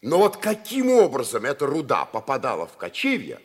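Veteran Arctic hand, mature: weighty, earnest, husky, very expressive intonation, vintage radio-play declamation. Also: male voice, mid-range voice, brisk, exaggerated voice. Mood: grave, explanatory. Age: middle-aged or older figure. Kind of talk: 1950s radio play acting.

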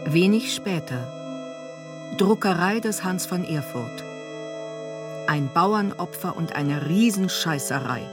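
Low, measured female radio narrator. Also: animated, over music. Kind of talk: scripted radio drama narration.

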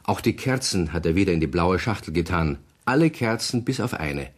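Male narrator for a children's radio play: deep, raspy tone, animated storytelling delivery. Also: fairly quick.

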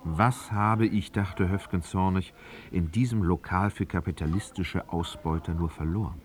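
A male narrator with a low voice tells a literary story for radio. His delivery is measured and articulate, with a faintly ironic storyteller's touch.

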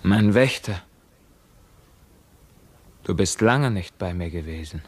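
An actor playing a dreamy, withdrawn captive young prince in a theatrical radio drama, solemn and introspective. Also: male voice, deep voice, animated, slow, slightly rough, heavily acted.

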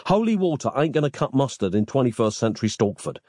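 A man's voice: mid-range voice, big pitch swings, fast, darkish tone, dry recording, studio quality. A man voicing a brash, impatient modern young man who talks bluntly. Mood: exasperated.

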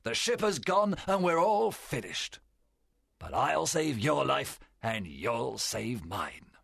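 Fierce storybook pirate captain: bright, forceful rasp, wildly swooping, commanding and cunning. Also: male voice, medium pitch, sing-song, no room sound, studio quality, pitched above the performer's own voice, full character voice. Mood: sly, conspiring. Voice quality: strained, gravelly rasp. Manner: theatrical, commanding, drawn-out delivery. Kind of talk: dramatized audiobook character voice.

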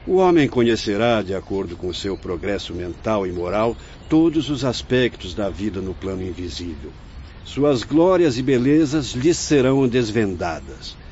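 A man acting a wise, patient spirit mentor in a radio drama, with a Brazilian accent and measured, preaching delivery. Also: on the low side, sing-song, darkish tone. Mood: solemn, preaching. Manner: measured, preaching, formal oratory. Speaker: actor. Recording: dull lo-fi radio recording, background noise.

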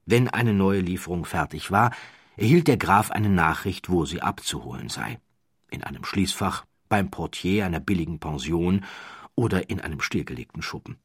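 A low-pitched man narrates a scripted crime story as an audiobook. His voice has a husky grain, and he places phrases deliberately, leaning on key words with dramatic pauses. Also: lively intonation, no room sound, studio quality.

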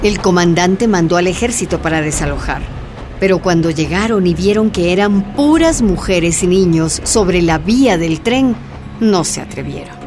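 A woman's voice: on the low side, animated, normal speed, background noise, lightly acted. A woman narrating a scripted radio drama as an earnest storyteller.

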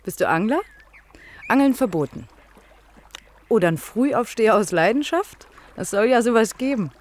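A woman's voice: a little high, lively intonation, moderate pace, natural voice. An actress playing a cheeky, outspoken lifeguard student in her early twenties in a radio play, teasing in short, breezy phrases. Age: early 20s. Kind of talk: naturalistic radio drama dialogue. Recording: outdoor scene with clear water noise. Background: lapping, trickling lake water.

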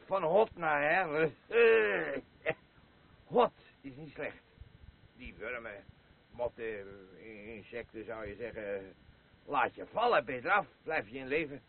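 An actor playing a boastful, crude and rambling drunken old war veteran in a radio play, with a husky voice. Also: male voice, medium pitch, a little hiss or hum. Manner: slurring, rambling, halting, blustering. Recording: muffled lo-fi radio play recording.

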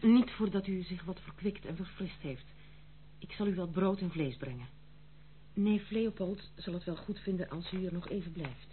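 An actress playing a dutiful, stern but kind granddaughter in an old Dutch radio play, speaking formally and gravely. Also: female voice, on the low side, normal speed, lightly acted. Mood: firm concern. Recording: muffled phone-like old radio recording, faint hum.

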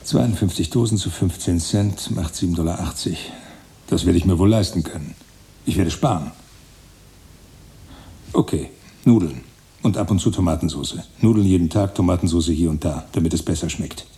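An obsessive man planning his spartan seclusion in a radio play. His voice is very low and gravelly, with a dark tone, and he enumerates every detail precisely. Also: moderate pace, long pauses. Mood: driven. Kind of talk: radio drama monologue, German.

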